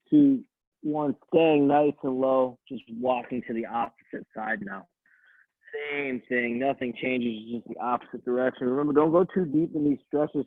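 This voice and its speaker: Calm male coach on tinny audio. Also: medium pitch, no room sound. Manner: calm coaching, counting down holds; soothing voice for stretching. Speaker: fitness coach. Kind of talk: guided stretching class. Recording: tinny, dry phone-like audio.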